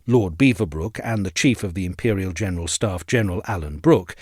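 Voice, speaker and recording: A male narrator reads formal prose in a low voice, with wide, expressive swings of pitch. His delivery is measured and articulate, and the recording is dry and close. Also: breathy edge, no room sound. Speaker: narrator reading an essay aloud. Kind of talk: scripted narration of a history essay.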